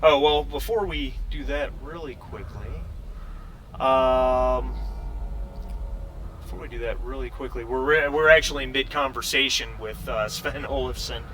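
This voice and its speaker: Man, game master, halting explanatory delivery, bright tone, mid pitch, faint background noise. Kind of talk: narrating a tabletop roleplaying game.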